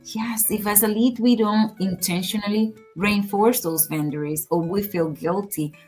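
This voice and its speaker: A woman co-hosting a podcast, talking in a measured, earnest, advice-giving way in casual conversation. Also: medium pitch, fairly even intonation, a little mellow, faint music behind. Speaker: podcast co-host.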